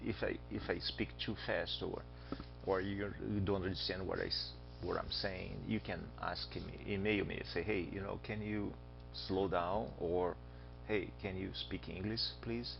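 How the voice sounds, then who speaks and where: A man's voice: low-pitched, fairly even intonation, unhurried, vocal fry, over music. A man from Brazil with a Brazilian Portuguese accent, teaching astronomy in a chatty recorded lecture, quizzing his students and making joking asides. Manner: chatty, asks students questions, jokes aside. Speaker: astronomy instructor. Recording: dull lo-fi voice recording.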